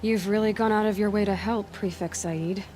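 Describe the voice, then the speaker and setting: A woman's voice: mid-range voice, fairly even intonation, normal speed, a little mellow, lightly acted. Guarded young huntress voiced by a woman, noisy backdrop. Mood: guarded, determined. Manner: direct, probing, wary. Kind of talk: video game dialogue acting.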